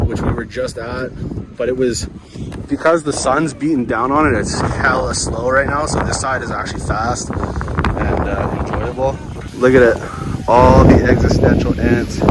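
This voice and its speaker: A young man chatting casually and slangily outdoors, rambling and musing in a laid-back way. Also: medium pitch. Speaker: vlogger snowboarding at a ski hill. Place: outdoors on a ski slope and chairlift. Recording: clear outdoor ambient noise on ski hill.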